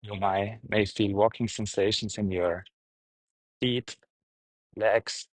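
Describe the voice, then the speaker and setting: A man guiding a meditation, speaking gently in short, halting phrases with pauses. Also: on the low side, steady tone, dry recording. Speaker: meditation teacher, guide. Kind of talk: guided meditation, unscripted instruction.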